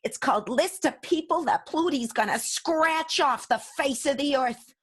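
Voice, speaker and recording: Woman playing high-voiced scrappy vengeful young heroine. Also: unhurried. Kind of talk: improvised radio soap opera acting.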